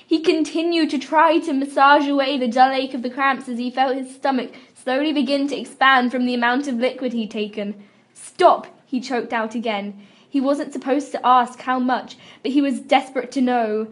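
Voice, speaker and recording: High-pitched young woman doing a dramatic reading. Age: young adult. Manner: giggly, breaking into laughter while reading. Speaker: amateur reader. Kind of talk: dramatic reading of fan fiction. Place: room at a school.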